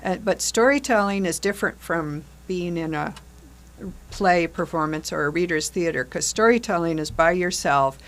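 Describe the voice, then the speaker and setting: Older woman, low voice, halting speech. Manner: hesitant, many fillers and restarts. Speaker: guest, theater group facilitator. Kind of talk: casual interview chat.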